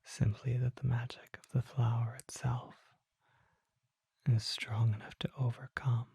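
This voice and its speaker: Performer acting out a secretive vampire apothecary, slow ASMR whisper, very breathy, formal, coy. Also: medium pitch, level tone, dark tone, no echo, exaggerated voice. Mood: hushed, intrigued. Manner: measured, formal, coy rhetorical questions. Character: mysterious vampire apothecary merchant, suave, secretive, unhurried. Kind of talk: ASMR roleplay, soft whispering.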